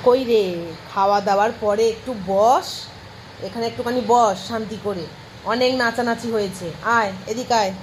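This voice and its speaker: A woman's voice: fairly high pitch, steady tone, normal speed, slight background noise, close to an everyday voice, rough recording. A woman playing a caring but strict mother in a cartoon, scolding and coaxing. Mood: firm, coaxing. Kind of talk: amateur cartoon voice acting.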